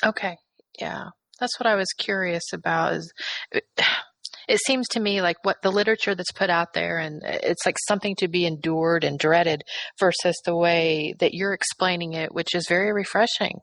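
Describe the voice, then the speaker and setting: A woman's voice: fairly low pitch, fairly even intonation, normal speed, a bit bright, dry recording, low-quality recording. A woman hosting a radio interview, responding to her guest and introducing segments.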